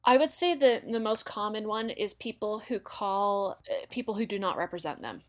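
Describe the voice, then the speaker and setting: Female podcast guest, American, mid-pitched, explains with emphasis in everyday casual language. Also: animated, moderate pace. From Utah, United States. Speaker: guest, former congressional aide.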